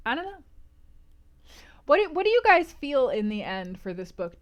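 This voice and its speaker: A woman hosting a casual book club livestream, discussing and answering chat in an animated, emphatic, conversational way. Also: medium pitch, clean tone.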